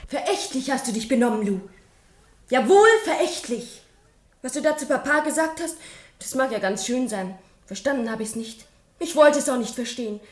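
An actress playing a passionate, blunt, defiant young daughter in a radio play. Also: female voice, high-pitched, expressive, moderate pace, roomy sound. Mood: scornful. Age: young adult. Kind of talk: German radio play acting.

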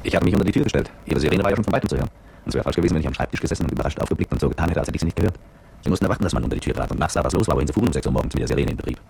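An actor playing a brooding petrol-station attendant and former soldier, narrating his memories in terse, reflective radio drama style with a gravelly voice. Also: a bass voice, animated, racing, a little mellow, exaggerated voice. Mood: wry, watchful. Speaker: narrator and protagonist. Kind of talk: literary first-person radio drama narration.

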